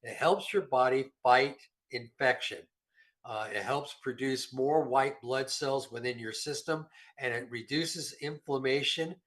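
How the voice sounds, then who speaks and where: A man's voice: medium pitch, a little slow, fairly bright tone. A middle-aged man with a slightly nasal voice from a cold, talking casually and with self-deprecating humor about health supplements as a show regular. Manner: rambling, folksy, self-deprecating.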